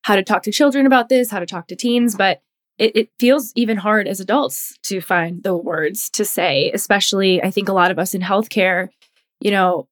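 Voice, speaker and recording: Woman, podcast co-host, works in healthcare, earnest, halting, mid pitch. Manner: earnest, halting, searching for words. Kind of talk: casual podcast chat.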